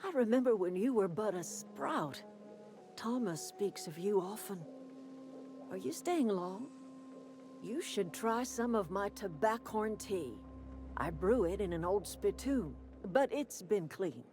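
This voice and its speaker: A woman's voice: medium pitch, lively intonation, unhurried, a little mellow, lightly acted. An actress playing a proud, motherly elderly woman who leads a camp in a video game, plain-spoken and folksy. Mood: kindly, welcoming. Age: older. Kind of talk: video game character dialogue. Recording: music behind the voice.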